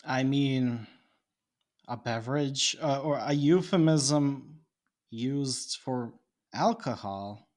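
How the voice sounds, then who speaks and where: A man's voice: mid-range voice, takes it slowly, a little mellow. A man from Bosnia, a podcast guest, speaking English with a Bosnian Serbian accent. He muses and thinks aloud in casual chat, often laughing.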